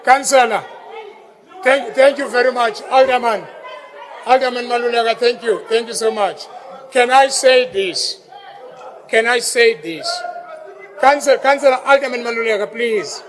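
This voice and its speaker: A South African man chairs a council meeting, repeating himself as he calls councillors to order in a fairly high voice. The chamber has a long echo, with a faint crowd murmuring behind him. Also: normal speed, long pauses, fairly bright tone.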